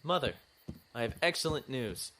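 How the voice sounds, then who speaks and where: A man acting a composed young noble naval lieutenant in a science fiction audio drama, formal and measured, coolly defiant. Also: medium pitch, animated, pushed and bright, a little hiss or hum, low-quality recording. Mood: gentle, pleased.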